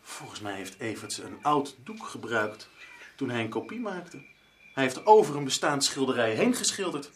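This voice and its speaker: Radio drama actor as earnest male researcher-writer: excitable, hesitant, highly varied pitch, some hiss of noise behind. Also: moderate pace, close to an everyday voice. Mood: intrigued, tense. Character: earnest, disheartened male researcher-writer chasing an art discovery.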